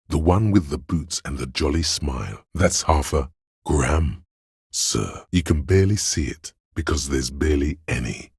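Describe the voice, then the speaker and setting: Profoundly deep, gravelly analytical inner voice. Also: male voice, a little mellow, no room sound, clean studio sound, exaggerated voice. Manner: deliberate, precise, portentous, theatrical. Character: analytical inner voice of a detective's mind, grandly precise. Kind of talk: dramatic video game voice acting, measured narration.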